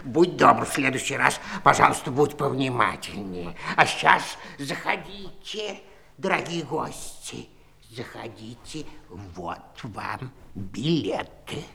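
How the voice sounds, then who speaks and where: An actor playing a courteous, fussy, grumbly bear gatekeeper in a children's audio play. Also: male voice, mid-range voice, big pitch swings, vocal fry, pushed and bright, exaggerated voice. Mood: mildly reproachful. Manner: polite, unhurried, grumbly, humming hesitations.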